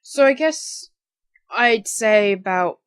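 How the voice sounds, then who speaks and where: A woman's voice: a little high, lively intonation, slow pace, clean tone. A young adult woman, slightly tired, talking in a rambling, informal way as she answers questions about her hobby. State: slightly tired, recently woken. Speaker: amateur voice actor. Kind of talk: casual question-and-answer vlog.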